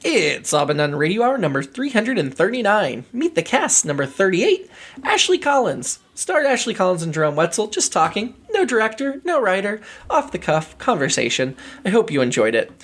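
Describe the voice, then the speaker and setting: A man hosts a relaxed podcast interview, speaking off the cuff and affirming his guest as he goes. He is recorded in an echoey room, slightly away from the microphone. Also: sits high, normal speed. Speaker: podcast host and radio show writer. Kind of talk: casual conversational interview. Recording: roomy, slightly distant mic.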